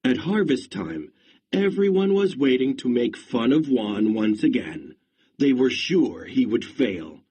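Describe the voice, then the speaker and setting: Brief: male read-along narrator, expressive, husky, slow pace. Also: medium pitch. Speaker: story narrator.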